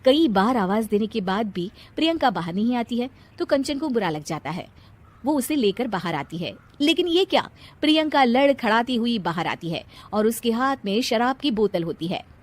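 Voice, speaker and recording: A woman with a fairly high voice serves as storyteller. She delivers scripted narration for an animated moral tale. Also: moderate pace.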